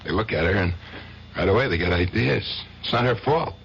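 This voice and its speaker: An actor playing a brash, possessive husband who has just been acquitted of murder, in a 1970s radio drama. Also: male voice, sits low, lively intonation, fairly quick, vocal fry, fairly bright tone, exaggerated voice. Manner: brash, needling. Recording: muffled old radio recording, hiss.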